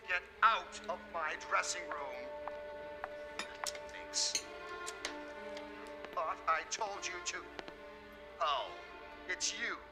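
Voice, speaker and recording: An actor playing an agitated, melodramatic character in a 1930s radio mystery serial. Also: male voice, high-pitched, animated, very slow, pauses often, heavily acted. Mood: alarmed, dramatic. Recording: old radio serial with loud music.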